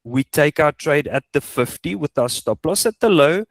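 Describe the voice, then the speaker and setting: A man's voice: on the high side, lively intonation, normal speed, vocal fry, no room sound. South African Afrikaans man teaching, dry sound. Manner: emphatic, repeats points, asks rhetorical questions. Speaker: trading coach. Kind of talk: informal instructional screen walkthrough.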